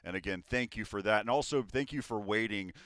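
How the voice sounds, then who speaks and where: A man's voice: on the low side, brisk, fairly bright tone, smooth, dry recording, clean studio sound. A young man casting esports, hosting a live desk wrap-up in an upbeat way with many fillers. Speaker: esports caster and host.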